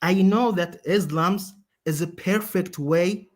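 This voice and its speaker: A man calling in to a debate, speaking in halting, broken English full of fillers. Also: high-pitched. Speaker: caller. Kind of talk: hesitant debate talk.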